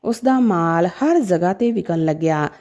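A woman's voice: fairly low pitch, animated, measured pace, dark tone. A woman narrating a scripted story in a dramatic, emotive manner.

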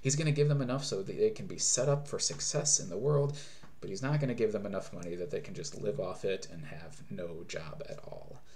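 A man in his thirties delivers a sermon from a small room at home, speaking into a webcam at desk distance. His voice sits somewhat low, and his preaching is earnest and explanatory, with frequent restarts as he works through a point. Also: a little fast, a little mellow. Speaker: pastor.

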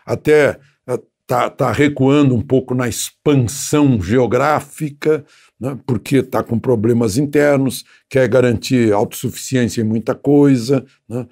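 A man's voice: mid-range voice, unhurried, creaky, darkish tone. An older man giving seated political commentary in a studio on a lapel mic, talking conversationally with frequent hesitations and tag questions. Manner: seated, gesturing with both hands. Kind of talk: unscripted spoken commentary. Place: small studio with graphic backdrop.

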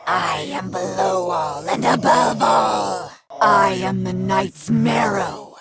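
A woman playing a demon-corrupted woman in a video game, chanting darkly with a gravelly, hissing voice and theatrical menace. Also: mid-range voice, fairly even intonation. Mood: menacing. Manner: slow, incantatory, swelling from whisper to shout. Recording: music under the voice. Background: music.

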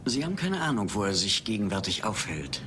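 An actor playing a courteous, probing intelligence official in a dubbed film, formal in manner. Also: male voice, lowish voice, sing-song, a little fast, background noise. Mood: polite, probing. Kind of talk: German film dubbing.

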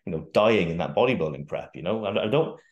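A man's voice: sits low, expressive, quick pace, highs cut off. A young adult man, a guest fitness coach, talking casually on a video call, rambling with restarts and the odd swear word. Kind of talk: casual interview chat. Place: bare room at home, indoors. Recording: echoey room.

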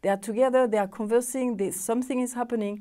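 A woman's voice: a little high, fairly even intonation, fast talker, mellow. A middle-aged woman whose first language is French, hosting a TV studio interview in English with eager, non-native phrasing. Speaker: interview host. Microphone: lapel mic.

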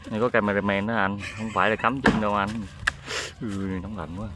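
A man's voice: on the low side, flat delivery, fairly quick, heavy background noise. A man filming a friend outdoors, bantering casually in a teasing, easygoing way. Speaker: cameraman friend. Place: outdoors in a field.

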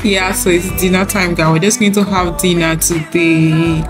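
A woman's voice: fairly low pitch, flat delivery, normal speed, over music. Chatty woman with a Nigerian accent. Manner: chatty and gushing. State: tired after a long trip. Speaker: travel vlogger. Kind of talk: casual vlog talk to camera.

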